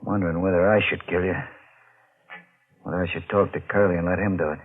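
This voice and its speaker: An actor playing a brooding, guilt-ridden deep-sea diver in an old-time radio mystery, speaking in a tense, halting way. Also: male voice, little pitch movement. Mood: cold, scheming. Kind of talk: old-time radio drama acting with narrated inner monologue. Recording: muffled, noisy old radio recording.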